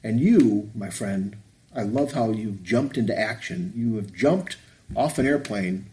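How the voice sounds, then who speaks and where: Reflective man in his fifties, low voice. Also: expressive, measured pace, a little mellow, low-quality recording. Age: 50s. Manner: reflective, rambling with many restarts. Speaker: podcast host. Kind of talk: casual chat.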